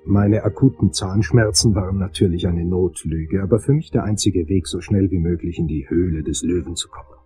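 An actor playing a wry, anxious male investigator who narrates a crime radio play in a deliberate, sardonic way. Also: deep voice, music in the background, close to an everyday voice. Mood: wry unease.

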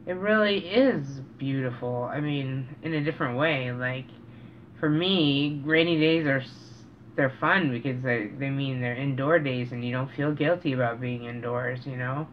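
A middle-aged man talking casually and reflectively to camera as a vlogger, earnest and halting, pausing mid-sentence, in a small room indoors. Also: mid-range voice. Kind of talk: casual, reflective vlog talk. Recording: dull, noisy home recording.

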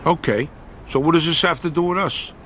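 An actor playing an eager, greedy young mobster in a video game, crude, pushy and excitable. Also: male voice, on the high side, a little fast, slight background noise, lightly acted. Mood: curious, crude. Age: young adult. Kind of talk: video game cutscene acting.

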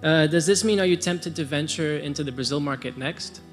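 A man's voice: a little high, a little fast. A young man, a university student, politely asking a prepared question at a stand mic in a large hall. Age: young adult. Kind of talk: formal audience question. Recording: reverberant hall with faint music.

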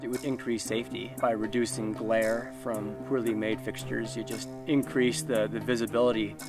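A man's voice: on the low side, flat delivery, normal speed. A man explaining things in a documentary interview. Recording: music behind.

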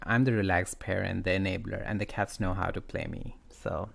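Male podcast host, low and gravelly, moving between storytelling and casual discussion. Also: fairly even intonation, fairly quick, a bit bright.

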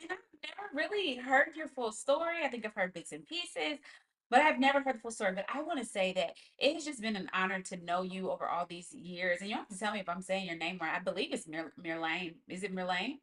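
A woman's voice: mid-range voice, brisk, a bit bright. A woman hosting a casual online team talk over a video call, speaking in an effusive, emphatic way. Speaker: host interviewer.

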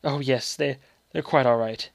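A man's voice: mid-range voice, normal speed, vocal fry, no room sound, natural voice. Startled, deferential nursery governess, dry close voice; male narrator doing her. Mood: flustered. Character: flustered nursery governess, polite woman.

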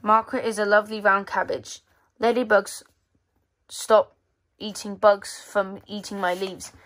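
Casual female read-aloud voice, medium pitch, halting, with offhand muttered asides. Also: level tone, fairly bright tone. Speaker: magazine reviewer. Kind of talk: casual read-aloud walkthrough of a children's magazine. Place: indoors at a tabletop.

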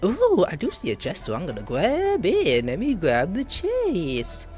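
Gaming host: excitable man, casual and sweary, wildly swinging pitch, faint music behind. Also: a little slow. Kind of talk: casual live gameplay commentary. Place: small room indoors at a computer. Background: faint game music.

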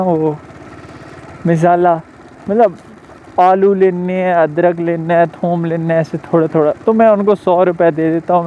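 A young man with a Pakistani Urdu accent, a delivery rider chatting casually to viewers while riding a motorbike through town, rambling and calling them brother. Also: sits high. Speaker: food delivery rider and motovlogger. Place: outdoors on a motorbike on a town road. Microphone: helmet or chest camera while riding. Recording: dull lo-fi sound with faint engine and traffic noise.

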